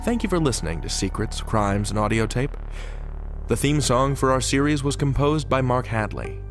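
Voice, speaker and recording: A man's voice: mid-range voice, lively intonation, moderate pace, soft background music. A man hosting a drama podcast, reading scripted teasers and outro and addressing listeners directly with teasing questions. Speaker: host.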